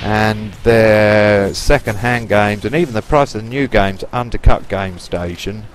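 A man in his late forties with a Lancashire accent and a fairly low voice talks in a rambling, cheeky way, as if to regular viewers. Faint game gunfire rattles behind him. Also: measured pace, background noise. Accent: Lancashire English. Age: 40s. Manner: chatty, rambling and cheeky, with mild swearing. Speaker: gaming YouTuber. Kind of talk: casual gameplay commentary.

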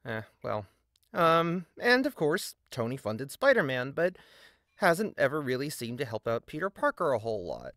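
A man delivers scripted video essay narration with a very animated pitch. He is sardonic and emphatic, dropping in dry asides. The recording is close and dry, with little room sound. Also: normal speed, dry recording.

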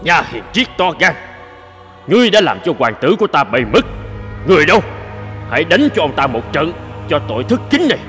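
Man as furious old king, commanding, lively pitch, cartoon fairy tale. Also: brisk, pauses often, in a large hall, faint music behind. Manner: commanding, shouting orders.

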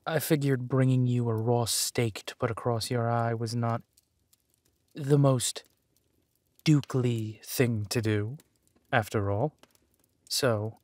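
An actor playing a protective villainous duke, tender and soothing, in an intimate audio roleplay. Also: male voice, medium pitch, no room sound. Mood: soft, defensive. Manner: gentle, soothing, unhurried.

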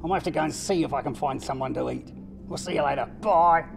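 A woman's voice: low-pitched, quick pace, vocal fry, voice pushed down. A woman doing a smug, sneaky octopus. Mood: sly.

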